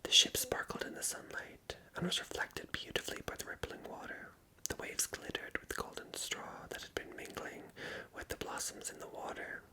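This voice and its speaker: A person reading a story aloud as ASMR narration in a hushed, unvoiced whisper. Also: moderate pace, rough recording. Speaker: ASMR reader and channel host.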